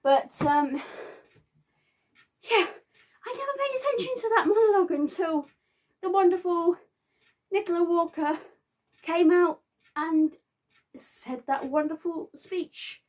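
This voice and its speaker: A woman chatting casually to viewers as a vlogger, halting and sometimes stumbling over words. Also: very high-pitched, a little mellow. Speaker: vlogger reviewing a theatre visit. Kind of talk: casual unscripted chat to viewers.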